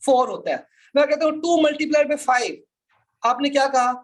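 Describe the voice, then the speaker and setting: Man, Pakistani accent, law lecturer, very high-pitched, explanatory, repeats key points, questions the class. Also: moderate pace. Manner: explanatory, checks understanding with questions and repetition.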